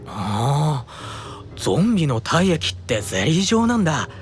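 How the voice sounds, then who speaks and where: An actor playing a gentle, unflappable, curious young man in an anime-style audio drama, with a mild musing manner. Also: fairly high pitch, very animated, a little slow. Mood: serene, curious.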